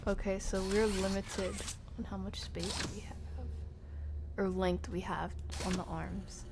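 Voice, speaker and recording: A woman's voice: mid-range voice, level tone, a little slow, background noise. A woman giving casual, reactive live gameplay commentary, talking to herself and her viewers. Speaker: game streamer.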